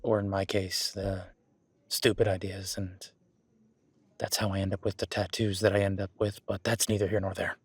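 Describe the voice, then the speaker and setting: A man's voice: low voice, flat delivery, moderate pace, husky, dry recording. A man playing a friendly, easygoing werewolf boyfriend who coaches his date at the gym in a casual, encouraging, rambling roleplay audio. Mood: warm, upbeat. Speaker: gym partner giving workout guidance. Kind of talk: roleplay audio drama, one-sided casual conversation.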